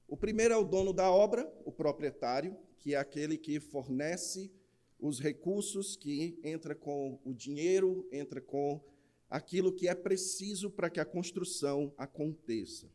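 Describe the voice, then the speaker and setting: A man's voice: fairly high pitch, normal speed. A man with a Northeastern Brazilian accent preaching in church, opening with a jokey rhymed verse before an earnest sermon. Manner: jokey rhyming recitation, then steady earnest preaching. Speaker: pastor. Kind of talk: sermon with a humorous rhymed recitation.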